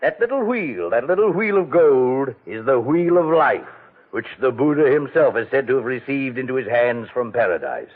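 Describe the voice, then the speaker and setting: An actor playing a fat, scheming older gentleman villain, pompous and unctuous, in a 1940s radio drama on a tinny old broadcast. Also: medium pitch, sing-song, normal speed.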